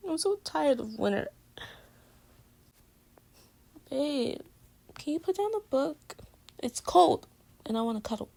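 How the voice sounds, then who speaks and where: A woman's voice: high voice, big pitch swings, normal speed, gravelly, mellow, heavily acted. A woman playing a playful, affectionate girlfriend in a casual, intimate pillow-talk roleplay, teasing her partner. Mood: cozy, affectionate. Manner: casual, teasing, conversational with giggles. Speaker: audio roleplay voice actor.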